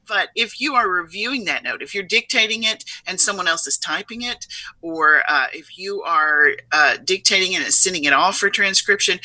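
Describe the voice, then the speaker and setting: A woman's voice: lowish voice, sharp tone, no room sound, rough recording. A middle-aged woman who runs a company, speaking as an interview guest in an upbeat, emphatic way and laughing often. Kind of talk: conversational interview.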